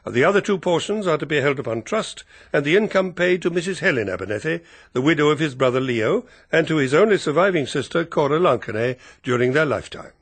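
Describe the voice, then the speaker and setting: An actor playing an earnest, proper family solicitor in a radio drama, with a formal, precise, slightly hesitant lawyerly manner. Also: male voice, fairly high pitch, lively intonation, fast, few pauses. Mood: formal, businesslike.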